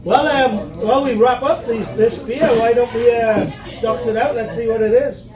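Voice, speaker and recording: A man's voice: very high-pitched, normal speed. A man, from Newcastle, hosting a casual beer tasting, chatty and jokey with lots of fillers. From Newcastle, England. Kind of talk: casual chat. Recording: echoey room, distant mic, crowd chatter.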